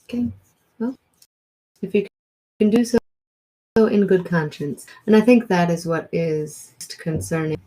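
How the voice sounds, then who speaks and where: A woman's voice: on the low side, unhurried, mellow, rough recording. A woman co-hosting a casual livestream, chatting and reading viewer comments aloud. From Wisconsin. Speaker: co-host of a livestream.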